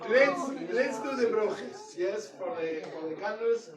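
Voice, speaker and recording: An accented man leads a synagogue service in a halting, playful way, often stopping mid-phrase to restart. His voice sits high and his delivery is slow. He is heard from a distance in an echoing sanctuary with the congregation murmuring. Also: lively intonation. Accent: non-native English. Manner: halting, playful, self-interrupting, sings and chants. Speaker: cantor leading services. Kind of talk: informal service leading, joking with congregation. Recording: roomy, distant mic, crowd audible.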